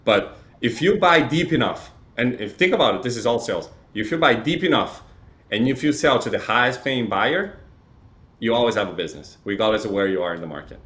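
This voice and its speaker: A man's voice: medium pitch, animated, brisk, a bit bright. Conversational man from Chile, explaining. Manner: explanatory, uses frequent "right?" tags. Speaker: interview guest, real estate data provider. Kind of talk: conversational interview talk.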